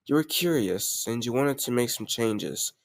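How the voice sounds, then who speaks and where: A man acts out a self-assured mafia boss who adores his partner. He speaks in a mid-pitched male voice, affectionate and confident, as intimate romantic roleplay audio. The sound is dry, with hardly any room on it. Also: expressive, normal speed, gravelly, no echo. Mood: fond, impressed. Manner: affectionate, self-assured, addressing a lover directly.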